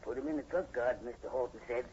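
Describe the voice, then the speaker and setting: A man's voice: medium pitch, quick pace, a little mellow, lo-fi sound, close to an everyday voice. Southern farmhand played by a man, spooked and superstitious, folksy drawl, 1940s radio horror, dulled hissy recording. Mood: ominous, folksy. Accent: rural American South. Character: frightened, superstitious backwoods hired man on farm.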